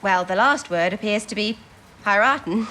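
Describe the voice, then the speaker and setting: A woman's voice: medium pitch, normal speed, bright, forceful tone, natural voice. A woman playing an earnest, spirited young wartime codebreaker, speaking expressively and well-spoken in a period radio drama. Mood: curious. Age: young adult.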